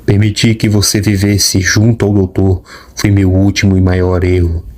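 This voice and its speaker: A man's voice: low voice, little pitch movement, normal speed, a little mellow, lightly acted. A man with a Brazilian accent playing an ancient, menacing god of death in an audio drama, speaking in solemn, pompous, declamatory monologue. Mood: bitter, triumphant.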